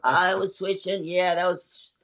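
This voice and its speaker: A woman's voice: lowish voice, little pitch movement, unhurried, a loud crowd. A woman in her fifties hosting a casual chat, telling stories candidly, swearing often and rambling with many fillers. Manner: candid storytelling with frequent swearing. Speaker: host.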